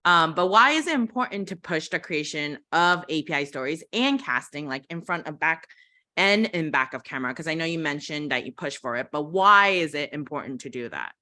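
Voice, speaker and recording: A woman's voice: sits low, expressive, fairly quick, bright, forceful tone. A woman moderating a panel, asking questions in a casual way.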